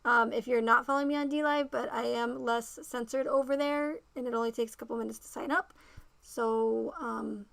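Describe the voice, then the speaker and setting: A woman with a fairly high, bright voice hosts a relaxed livestream and talks to her viewers by name. Her voice is gravelly and going raspy, and she circles back to the same points. The sound is dry and close, with no room echo. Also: moderate pace. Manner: talks straight to the chat, repeats herself. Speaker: livestream host. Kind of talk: casual livestream chat with viewers.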